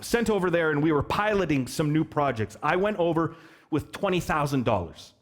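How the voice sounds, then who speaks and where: A man preaching a sermon as pastor, telling a story in an animated, joking way and asking the children questions. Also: on the high side, normal speed. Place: church hall.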